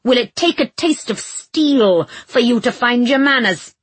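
A woman's voice: mid-range voice, takes it slowly, a bit bright, breathy edge. A woman voicing a pompous, violent nobleman in an audiobook, haughty and commanding with archaic insults. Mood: indignant.

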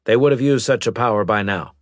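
A man's voice: mid-range voice, quick pace, no room sound, pitched above the performer's own voice, natural voice. Male narrator as military leader, male, authoritative, probing, terse, close dry recording, sci-fi audiobook. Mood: serious.

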